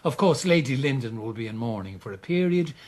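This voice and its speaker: A male actor plays a worldly, fond old schemer of an uncle. His husky voice swoops widely up and down, in full radio drama style. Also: medium pitch, expressive, fairly quick, a little mellow, close to an everyday voice. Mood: gleeful, urging.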